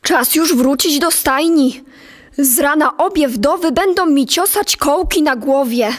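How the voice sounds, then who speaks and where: A keen young stable boy, boyish high voice, slow earnest lines, radio drama. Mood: weary. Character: eager young stable boy named Jędrek. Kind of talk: radio play acting.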